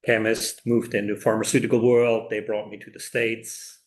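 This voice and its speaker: A man's voice: fairly low pitch, little pitch movement, normal speed. A middle-aged man with a Swiss accent introducing himself informally at a public meeting, in a casual, loosely phrased way. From Switzerland. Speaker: committee applicant. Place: indoor public meeting room.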